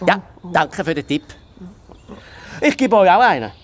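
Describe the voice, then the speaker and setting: A woman playing a brusque, skeptical male fox detective in a children's fairy-tale audio drama, with a husky voice and gruff manner. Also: fairly low pitch, very animated, measured pace. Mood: matter-of-fact.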